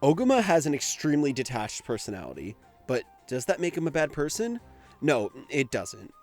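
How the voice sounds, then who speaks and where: A man's voice: mid-range voice, huge pitch swings, moderate pace, a little mellow, faint music behind. A man giving casual scripted commentary for a video essay, in an informal, conversational way with the odd swear word.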